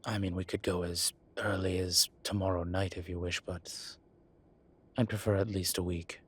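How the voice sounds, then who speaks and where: Man playing a deep, husky, proud incubus demon. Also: level tone, normal speed. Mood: guarded, reluctant. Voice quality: low, husky voice. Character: proud sardonic male incubus demon professor, guarded yet playful. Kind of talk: roleplay audio drama, speaking to an unseen listener.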